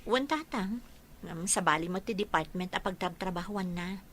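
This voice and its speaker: A woman's voice: fairly low pitch, moderate pace, fairly bright tone. A woman playing a fretful, questioning young girlfriend and daughter in an audio drama.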